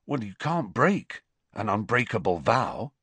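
A man's voice: on the low side, big pitch swings, unhurried, fairly bright tone, slightly rough, no room sound, pitched above the performer's own voice, heavily acted. Guy doing a grumpy naive teen boy, blunt, storybook character, very up and down, dry sound. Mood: earnest. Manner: blunt, grumbling. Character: grumpy, naive teenage boy wizard, easily needled.

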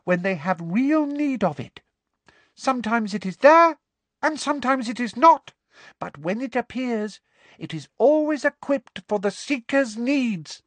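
A squeaky, fawning elf. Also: male voice, lively intonation, unhurried, a bit bright, no echo, exaggerated voice. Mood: eager. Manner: servile, speaks of himself in third person. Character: small eager devoted male house elf.

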